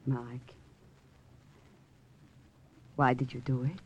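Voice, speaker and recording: An actress playing an earnest, idealistic young sweetheart in an old radio drama, pleading in a breathy voice. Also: female voice, extremely low pitch, level tone, extremely slow, highs cut off, heavily acted. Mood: hushed, moved. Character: earnest, idealistic woman, gambler's loyal sweetheart. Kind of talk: 1950s radio drama acting.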